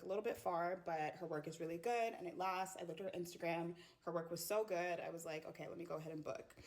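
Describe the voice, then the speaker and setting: A woman from the United States telling a chatty story time, acting out bits of dialogue. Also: fairly low pitch. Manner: conversational, acts out dialogue.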